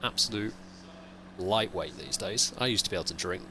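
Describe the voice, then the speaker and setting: A man streaming a sim race, with a croaky, hungover voice, rambling and thinking aloud in casual chat. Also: low voice, normal speed, noisy background. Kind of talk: casual live-stream commentary.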